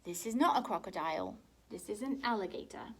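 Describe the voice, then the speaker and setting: A woman's voice: medium pitch, lively intonation, brisk, slightly rough. A woman reading aloud and voicing a fussy, stubborn little girl in a storybook, with an insistent, sing-song delivery.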